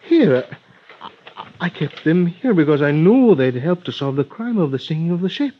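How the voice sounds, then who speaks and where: An actor playing an earnest, grieving doctor with a Spanish accent, speaking formally and haltingly in vintage radio drama style. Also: male voice, a little high, sing-song. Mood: agitated. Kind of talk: 1940s-50s radio drama acting. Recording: dull vintage radio recording.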